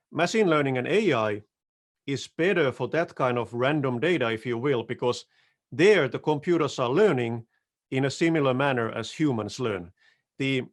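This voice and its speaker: Finnish-accented middle-aged male presenter, easygoing and wry, headset mic on a video call, occasional throat clearing. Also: mid-range voice. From Finland. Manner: relaxed, self-deprecating, occasional restarts and throat clearing. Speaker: solutions architect presenting. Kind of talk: conference talk over video call.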